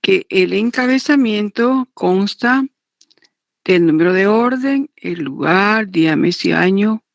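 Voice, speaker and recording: The sort of deliberate woman you would hear teaching a law class, unhurried and repeating points, recorded close and dry. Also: medium pitch, animated, creaky, no echo. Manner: deliberate, repetitive, checks understanding with tag questions. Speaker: law lecturer teaching notarial law. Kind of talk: didactic lecture, partly read aloud.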